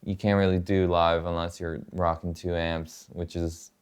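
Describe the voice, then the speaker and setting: A man with a low, smooth voice talks casually as a guest musician. His delivery is laid-back and colloquial, with plenty of loose filler words. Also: level tone, moderate pace. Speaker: guitarist and producer, interview guest. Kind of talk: casual demonstration and chat. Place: home studio.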